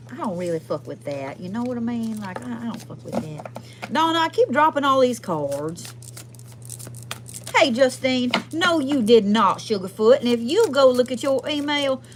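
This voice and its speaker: A woman's voice: a little high, natural voice. Woman putting on a sassy, twangy Southern gal, noisy background. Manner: sassy, chatty, swearing, folksy endearments. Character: Lurleen, sassy foul-mouthed folksy Southern woman giving readings. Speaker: livestream host doing readings. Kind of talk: casual livestream chat in character.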